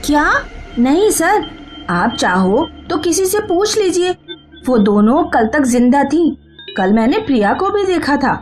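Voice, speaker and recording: A woman's voice: high voice, sing-song, unhurried, darkish tone, soft background music. A woman voicing a worried, insistent female neighbour in a cartoon horror story. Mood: shocked, insistent. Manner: earnest, insistent.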